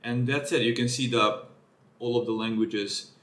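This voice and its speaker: A man talks through a technical paper live, thinking aloud and correcting himself as he goes. His voice sits at an ordinary middle pitch. The room around him gives a noticeable echo. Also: fairly even intonation, normal speed, a bit bright. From Serbia. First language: Serbian. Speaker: streamer reading a research paper. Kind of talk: live-streamed paper walkthrough.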